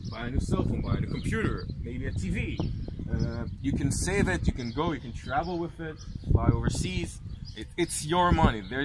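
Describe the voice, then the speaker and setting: A man working as a tour guide, explaining informally step by step with rhetorical questions, in English shaped by American and South African parents. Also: mid-range voice. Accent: English with Anglo influence from American and South African parents. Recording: clear outdoor ambient noise.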